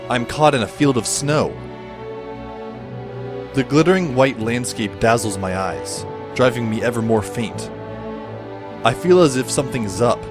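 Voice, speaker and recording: A man's voice: medium pitch, natural voice. A man playing a bewildered, wry teenage schoolboy who narrates an audio drama in a wordy, dramatic way. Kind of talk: scripted first-person audio drama narration.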